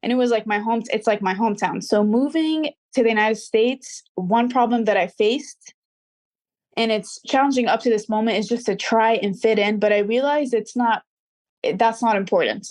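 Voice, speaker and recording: A woman's voice: fairly high pitch, normal speed, darkish tone. Young woman. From Dubai. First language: Arabic. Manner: earnest, reflective, slightly formal. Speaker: guest, recording artist. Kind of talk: interview answers.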